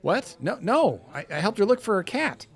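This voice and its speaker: An actor playing an awkward, anxious young loner in an audio drama, hesitant and stammering. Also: male voice, sits high, big pitch swings, normal speed. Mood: nervous, evasive.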